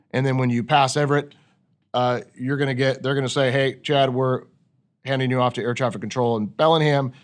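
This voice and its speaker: Male podcast host, medium pitch, conversational coach who teaches through rhetorical questions. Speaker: podcast host leading a discussion.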